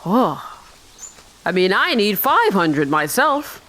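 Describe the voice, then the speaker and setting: A woman giving casual, chatty let's-play commentary on a video game, thinking out loud as she goes. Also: mid-range voice, big pitch swings, takes it slowly, a little hiss or hum. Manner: rambling, thinking aloud, chatty. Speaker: gamer narrating her own playthrough.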